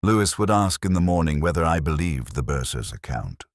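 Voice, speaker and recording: A man plays a brooding male detective narrating a radio mystery. His voice is extremely low, yet it rises and falls expressively. The delivery is literary and reflective, in a dry, close-sounding recording. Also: very animated, no room sound, full character voice. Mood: brooding, melancholy. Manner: brooding, literary, measured storytelling. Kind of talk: scripted first-person mystery narration in radio drama manner.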